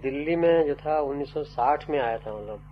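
Older man, high voice, reflective and halting, muffled tape recording with faint hum. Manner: slow, musing, trailing off with fillers. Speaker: writer and poet interviewee. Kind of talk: reflective interview answers.

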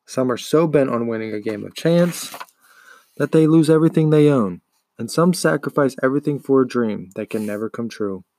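A man's voice: mid-range voice, normal speed, mellow, no echo. A man reading a children's book aloud as a storybook narrator. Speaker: podcast host, audiobook reader.

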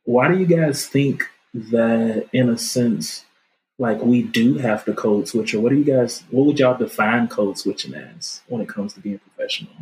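Male host, relaxed and casual, easy laugh. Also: medium pitch, normal speed, rough recording. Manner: relaxed, laughs easily, lots of affirming interjections. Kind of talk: casual discussion.

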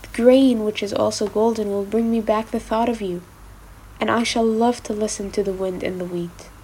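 A woman voicing a gentle, wistful male fox from a storybook, speaking in an earnest, measured way. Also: mid-range voice, steady tone, husky, natural voice, low-quality recording. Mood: wistful, longing. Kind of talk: storybook character voice.

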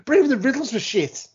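A man's voice: very high-pitched, darkish tone, breathy edge, lo-fi sound. A British man co-hosting a podcast, bantering casually about films in a sweary, rambling way and slipping into mocking impressions. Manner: sweary, rambling, mimics film characters mockingly. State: tipsy. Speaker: podcast co-host.

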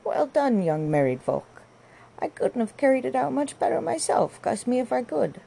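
Woman putting on a raised, husky rustic man. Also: a little high, very animated, normal speed, dark tone, voice pushed up, exaggerated voice. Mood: hearty, teasing. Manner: hearty rustic speech. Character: husky countryman onlooker, hearty and teasing.

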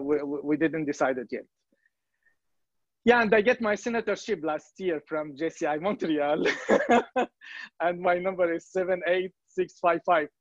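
A man in his thirties from Syria, speaking English as an in a casual testimonial, halting with many fillers and restarts. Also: sits high, no room sound. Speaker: volunteer organization member. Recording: dry, muffled lo-fi sound.

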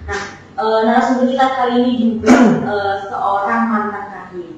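A woman's voice: medium pitch, moderate pace, dull audio, a little hiss or hum. A young woman from Banten co-hosting a student podcast, with friendly, bubbly chatter. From Serang, Banten. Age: young adult. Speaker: podcast co-host. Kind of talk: casual chat. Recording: distant mic, very echoey room.

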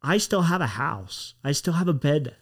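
A man hosting a podcast, talking in a relaxed, encouraging way. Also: fairly high pitch, normal speed, husky. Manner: relaxed, encouraging, short affirmations. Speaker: podcast host. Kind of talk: casual interview chat.

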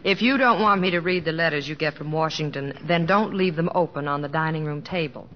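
An actress playing a devoted, sensible middle-aged American wife in an old radio drama, tender, earnest and gently chiding. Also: female voice, sits low, brisk, a little hiss or hum, natural voice. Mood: gently probing. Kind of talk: 1950s radio drama acting. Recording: muffled old radio recording with music.

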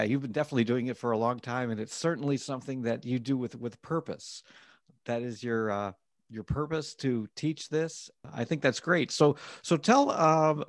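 A man's voice: medium pitch, no echo, clean studio sound. A man hosting a podcast interview, talking in an upbeat, conversational way. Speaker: podcast host.

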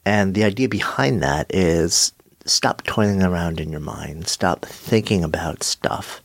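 A middle-aged man hosting his own podcast, talking reflectively with a slightly nasal voice from a cold and pausing often. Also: low voice, no room sound. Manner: thoughtful, measured, with frequent pauses and fillers. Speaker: podcast host. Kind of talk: solo reflective podcast monologue.

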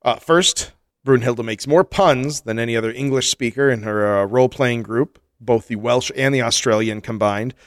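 A man's voice: medium pitch, moderate pace, dry recording, studio quality. A man co-hosting a comedy podcast, reading listener mail aloud in a casual, joking way and laughing often. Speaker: podcast co-host.

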